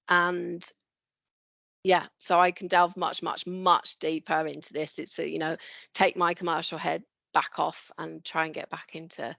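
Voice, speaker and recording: A woman's voice: lowish voice, gravelly, a bit bright, dry recording. A woman sharing her career route in an online talk, hesitant and full of fillers. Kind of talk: online presentation. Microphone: video call. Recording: dry, lo-fi video call audio.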